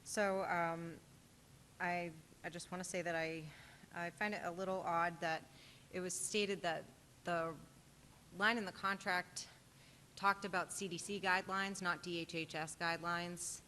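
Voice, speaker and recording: A woman's voice: fairly low pitch, steady tone, unhurried, pushed and bright. A woman giving public comment at a school board meeting, earnest and pointed, with frequent hesitations. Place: town meeting room. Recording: reverberant meeting room with faint noise.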